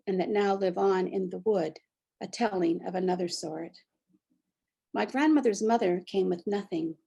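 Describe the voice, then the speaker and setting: A woman in her sixties from Canada, a poet giving a short spoken introduction and then reading her poem aloud in a reflective, measured way. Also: medium pitch, gravelly, warm tone, husky. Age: 60s. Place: near the Fraser River, British Columbia.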